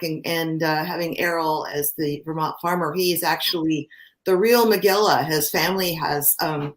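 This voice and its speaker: A woman theater director explaining things casually in a panel on a video call. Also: sits low.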